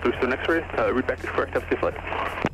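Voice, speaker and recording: A man's voice: medium pitch, lively intonation, fast, over music. A man working as an air traffic controller, giving clipped instructions over aviation radio. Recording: noisy aviation radio transmission.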